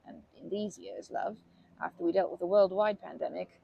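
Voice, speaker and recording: A woman voicing a kindly, chatty old grandma in an ASMR comfort roleplay, rambling gently with halting phrases and doting endearments. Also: fairly low pitch, fairly even intonation, lo-fi sound, exaggerated voice. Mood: earnest, reassuring. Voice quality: husky, creaky voice.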